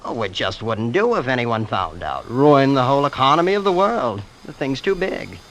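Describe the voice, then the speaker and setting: An actor playing a genteel, blandly reasonable rich heir in old radio drama style, smooth and theatrical. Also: male voice, medium pitch, big pitch swings, moderate pace, a bit bright. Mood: placating. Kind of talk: 1940s radio drama acting. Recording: noisy old radio broadcast.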